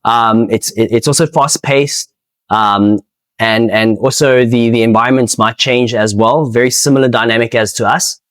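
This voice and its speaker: A man's voice: mid-range voice, steady tone, a bit bright. Hesitant South African man, casual interview. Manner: hesitant, halting, repeats words. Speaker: interviewee, amateur speedsoft player and organiser. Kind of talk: casual unscripted interview answers.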